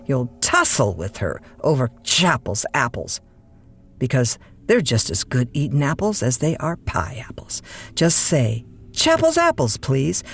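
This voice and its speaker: Flustered, brash overnight radio disc jockey. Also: female voice, sits low, full character voice. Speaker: radio host. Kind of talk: radio drama acting, old-time radio patter.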